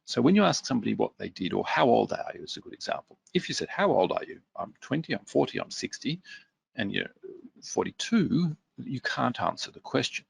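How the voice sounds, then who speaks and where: A man's voice: medium pitch, very animated, fairly quick, gravelly, breathy edge. A man presenting a workshop webinar, reading out viewer comments and answering them conversationally with fillers.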